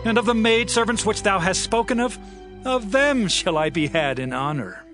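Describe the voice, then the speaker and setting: King David speaks in a somewhat high, earnest voice. His lines come as fervent, humble declarations to his God. Faint music plays behind him in this audio drama. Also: lively intonation, moderate pace. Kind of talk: dramatized scripture reading.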